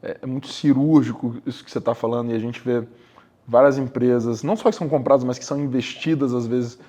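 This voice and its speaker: A man's voice: medium pitch, fairly even intonation, fast, mellow. A Brazilian man hosting a podcast interview, often hesitating and repeating words as he asks his questions. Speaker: podcast host. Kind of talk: casual interview talk.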